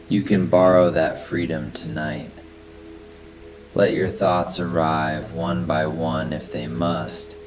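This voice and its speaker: A man narrating a guided sleep meditation in a soft, soothing, unhurried manner. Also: very deep voice. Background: steady rain sounds and soft background music.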